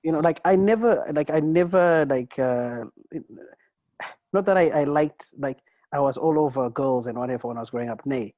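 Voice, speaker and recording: A man co-hosting a casual podcast chat, rambling and halting with many restarts and "bro"s. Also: a little high, mellow, dry recording, highs cut off. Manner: rambling and halting, many restarts, peppered with "bro" and "you know what I'm saying". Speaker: co-host.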